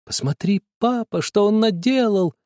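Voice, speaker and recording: A man's voice: high voice, unhurried, warm tone, dry recording, clean studio sound, pitched above the performer's own voice. A man reading aloud and voicing an angry, tearful older sister who shrieks accusations at her little brother. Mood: tearful complaint. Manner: shrieking, accusing, near tears.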